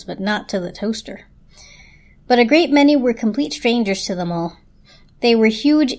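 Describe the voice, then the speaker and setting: A woman's voice: fairly high pitch, a little mellow. A woman narrating a children's story as a dramatic reading.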